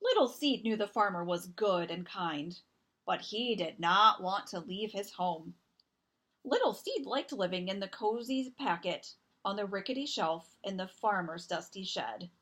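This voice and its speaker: A woman reading a children's picture book aloud as storyteller, with expressive, deliberate narration and dramatic emphasis. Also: medium pitch.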